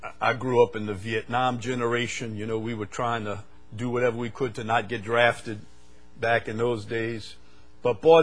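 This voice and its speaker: An older man from the United States preaching a sermon, swinging from loud, fervent stretches to quiet asides. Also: mid-range voice.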